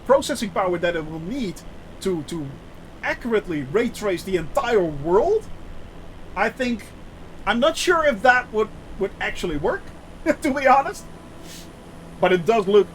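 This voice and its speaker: A man's voice: high voice, slight background noise, low-quality recording. A man with a Dutch accent, chatting casually on a flight-sim live stream, full of filler sounds and answering chat.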